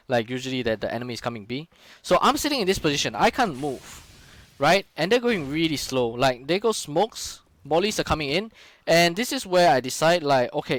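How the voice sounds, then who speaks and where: A man's voice: a little high, fairly bright tone, no echo. A young man, casually talking through his own game footage on a live stream, loose and full of fillers and curses. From Singapore. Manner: casual, frequent swearing and fillers.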